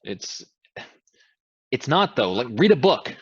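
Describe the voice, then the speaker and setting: A man's voice: mid-range voice, sing-song, slow pace, breathy edge, dull audio, exaggerated voice. An actor in a staged reading playing an awkward, blunt man who is easily flustered and hesitant. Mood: dismissive. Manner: hesitant, stammering.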